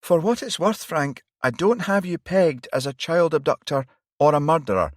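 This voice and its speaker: A woman's voice: sits low, animated, normal speed, no room sound, clean studio sound, voice pushed up. A man voicing a wary young female detective with a blunt, exasperated manner.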